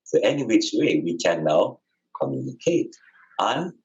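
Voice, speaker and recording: A man's voice: on the low side, sing-song, measured pace, gravelly, highs cut off. A man speaking as a spiritual teacher in conversation, earnest and emphatic, repeating phrases for effect.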